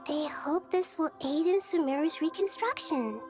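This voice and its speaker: A woman playing a gentle, wise, childlike female deity in a video game, composed and measured with a playful streak. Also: high-pitched, loud music behind the voice, close to an everyday voice. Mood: composed, formal.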